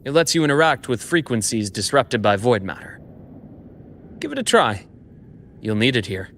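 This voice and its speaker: A composed expedition companion from a sci-fi video game. His mid-range voice explains in a measured, matter-of-fact way, over slight background noise. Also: medium pitch, expressive, a bit bright. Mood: calm, informative. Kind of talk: scripted video game dialogue.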